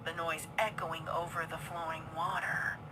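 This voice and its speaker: A woman's voice: low voice, flat delivery, normal speed, background noise. A woman reading scripted horror fiction as a dramatic narrator.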